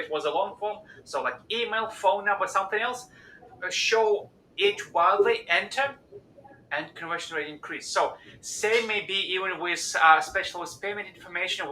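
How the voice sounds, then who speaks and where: A man who is not a native English speaker, hosting a live stream discussion and talking haltingly with many fillers. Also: high voice, pushed and bright. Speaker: host. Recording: echoey room with faint noise.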